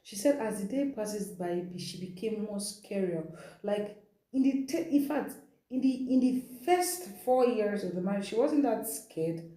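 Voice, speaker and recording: A woman's voice: medium pitch, animated, unhurried, warm tone. A woman hosts an informal storytelling session, retelling someone's account in a conversational way with rhetorical asides. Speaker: storytelling host. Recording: roomy, echoing sound.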